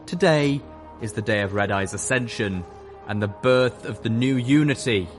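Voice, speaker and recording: A man voicing a zealous female pony cult preacher, declaiming a sermon with some raised pitch and loud, ringing delivery over background music. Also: normal speed, natural voice. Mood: fervent.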